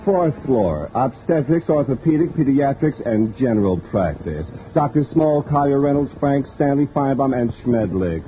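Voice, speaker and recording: A man's voice: mid-range voice, expressive, moderate pace, few pauses, close to an everyday voice. An actor playing a male elevator operator in a 1940s radio drama, calling out the floors in a singsong announcing manner. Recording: muffled, distant 1940s radio with hiss.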